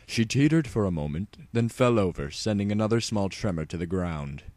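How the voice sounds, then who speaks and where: Man, low pitch, dry sound, dramatic tongue-in-cheek story narration. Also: expressive, fairly quick, a little mellow, no echo.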